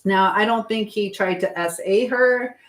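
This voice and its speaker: A woman's voice: mid-range voice, measured pace. A middle-aged woman hosting a casual livestream, rambling and thinking aloud as she answers viewer comments. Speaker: livestream host. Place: at home while preparing food. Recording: clearly noisy livestream with clicks.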